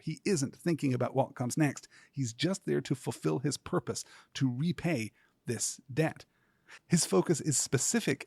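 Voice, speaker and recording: A man hosting a podcast, delivering scripted literary commentary in a measured, articulate way with deliberate, emphatic phrasing. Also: on the high side, a little mellow, husky, no echo. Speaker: podcast host.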